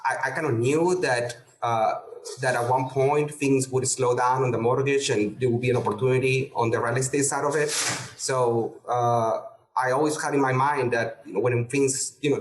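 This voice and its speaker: Man, halting, in a roomy space. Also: mid-range voice. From Peru. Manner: halting, many restarts and fillers. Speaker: guest real estate investor. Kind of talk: casual interview talk.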